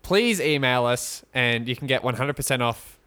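A man co-hosting a podcast in casual chat, rambling and joking with halting restarts. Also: mid-range voice, animated, sharp tone. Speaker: podcast co-host. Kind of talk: casual chat, mock sponsor read.

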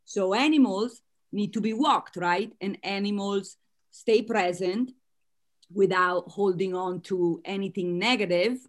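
A woman's voice: mid-range voice, measured pace. A woman with an Italian accent, answering interview questions earnestly and laughing lightly between points. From Italy. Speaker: guest author.

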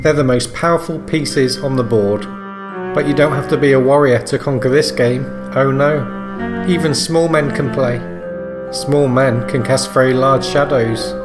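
A man playing a sly, upbeat pitchman in a parody radio commercial for a board game. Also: steady tone, a little slow, lightly acted. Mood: playful salesmanship. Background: plucked guitar music.

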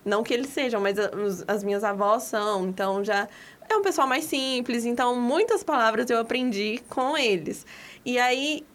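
Higher-voiced woman from Minas Gerais. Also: moderate pace. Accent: Minas Gerais Brazilian Portuguese. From Minas Gerais, Brazil. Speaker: conversation participant. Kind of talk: casual chat among friends.

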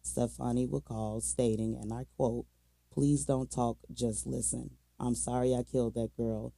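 An American man tells true crime stories as a podcast co-host, loose and full of slang. His voice is very dark and mellow, with a creaky, gravelly grain. The sound is dry and close. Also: mid-range voice, little pitch movement, unhurried, no echo. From Minnesota, United States.

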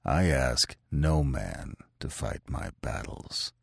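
A man puts on a hard barbarian fighter who speaks low and quiet, his threats slow and measured. The voice is deep, close and dry. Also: dry recording, exaggerated voice. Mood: quietly menacing. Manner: low, soft-spoken menace. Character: grim, menacing barbarian warrior, adult man.

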